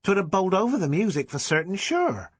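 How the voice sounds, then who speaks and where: A high-voiced Dorset village boy. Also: big pitch swings, fairly quick, no echo. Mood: defiant. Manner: high treble, rustic dialect. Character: small tearful village boy, cheeky, playing tough.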